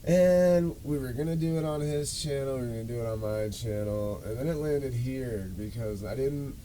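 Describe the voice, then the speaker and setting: A man's voice: medium pitch, moderate pace, mellow, a little hiss or hum, poor audio. A man hosting a podcast, telling a story in a casual, rambling, self-deprecating way with loose swearing. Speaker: podcast and show host.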